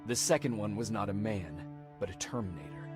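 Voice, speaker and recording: An actor playing an earnest, dutiful soldier in a future-war video game, with a serious, sober delivery. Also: male voice, fairly low pitch, brisk, natural voice. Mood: somber. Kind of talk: dramatic video game acting. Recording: game dialogue buried in loud music.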